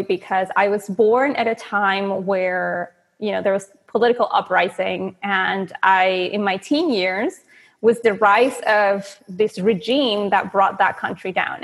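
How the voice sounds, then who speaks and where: A woman's voice: mid-range voice, unhurried, in a large hall. A woman from Venezuela in her thirties, a coach telling her story earnestly in a podcast interview. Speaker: guest, manifestation coach.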